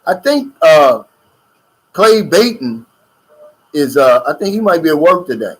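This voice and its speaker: Middle-aged male channel host, loose chatty livestream delivery, pitch a touch high. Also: very animated, measured pace, rough recording. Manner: loose, chatty, drawling with fillers and asides. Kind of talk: casual livestream chat reading viewer comments. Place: lives in the Philippines.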